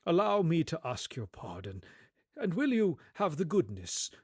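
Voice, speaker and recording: A man's voice: fairly high pitch, measured pace, husky, dry recording, clean studio sound, pitched above the performer's own voice, exaggerated voice. A man voicing an elderly reformed miser, giddy with joy and generosity, in an effusive, theatrical storybook radio-play style. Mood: warmly courteous. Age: older. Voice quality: excitable, exclaiming, breaking into chuckles. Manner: effusive, courteous, old-fashioned British phrasing.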